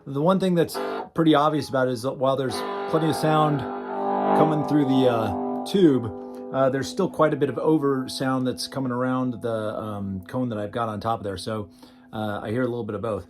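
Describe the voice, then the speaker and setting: A man's voice: mid-range voice, fairly even intonation, moderate pace, over music. Casual, wryly self-deprecating man demonstrating gear. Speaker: hobbyist guitarist demonstrating a homemade project. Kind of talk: casual unscripted demo vlog.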